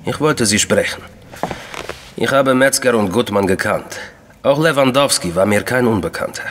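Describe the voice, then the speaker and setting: An actor playing an enigmatic Russian official in a crime radio drama, courteous, deliberate and coolly menacing. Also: male voice, medium pitch, fairly bright tone, long reverb tail, slight background noise, close to an everyday voice. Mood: courteous, guarded.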